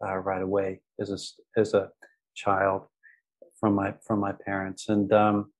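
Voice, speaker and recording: An American man with a fairly low voice and little pitch movement chats as a podcast guest. He is friendly and fills his sentences with ums and small restarts. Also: monotone, measured pace. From United States. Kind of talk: casual interview talk.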